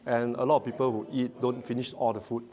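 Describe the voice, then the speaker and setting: Singaporean guy chatting informally at a workshop, average pitch. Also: level tone, fast, highs cut off. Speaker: workshop participant. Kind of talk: informal group presentation.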